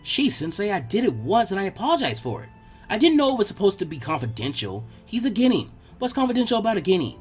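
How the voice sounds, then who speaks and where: Casting: pushy, studious young female archivist, done by a man, raised voice, animated and fussy. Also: high-pitched, fast talker, slightly rough, soft background music, exaggerated voice. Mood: sheepish. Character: eager, bookish girl archivist, proud and pushy. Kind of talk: fan fiction character reading.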